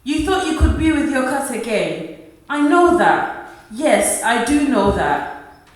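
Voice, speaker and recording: Woman acting high-voiced careworn mother, echoey. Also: sing-song, takes it slowly, heavily acted. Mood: tender, consoling. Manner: earnest, plaintive. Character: careworn, loving, long-suffering 1950s wife and mother. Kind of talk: radio play acting. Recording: very echoey room, distant mic.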